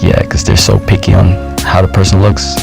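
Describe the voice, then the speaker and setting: A man's voice: sits low, flat delivery, brisk, vocal fry, music in the background. A Jamaican-born man in his thirties on a dating show, talking casually and joking around. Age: 30s. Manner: playful, jokey, puts on voices when telling stories. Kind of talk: interview and casual date chat.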